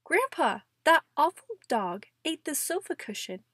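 A woman's voice: high voice, sing-song, measured pace, a bit bright, no echo, studio quality, pitched above the performer's own voice, heavily acted. A woman voicing eager, complaining young grandchildren in a storybook read-aloud. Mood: urgent complaint.

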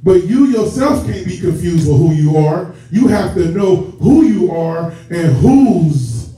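A man's voice: high voice, sing-song, slow pace, warm tone. A man preaching a sermon as a church elder, conversational and addressing the congregation directly, stretching key words for emphasis. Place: church sanctuary with stage and rows of seats. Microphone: far camera at back of hall. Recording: echoey hall from far off, muffled, noisy.

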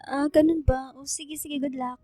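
Woman playing young student girl, high voice, lovestruck n sensitive, radio drama w/ music. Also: fairly even intonation, normal speed, mellow, no echo, natural voice. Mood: friendly, awkward. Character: lovestruck young female student, sensitive and apologetic among friends.

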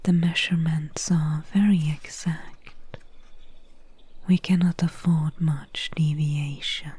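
A woman voicing a secretive, helpful swamp witch in a soft, breathy whisper for an ASMR roleplay. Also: deep voice, fairly even intonation, no echo, heavily acted. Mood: calm, focused. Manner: slow, hushed, deliberate storytelling.

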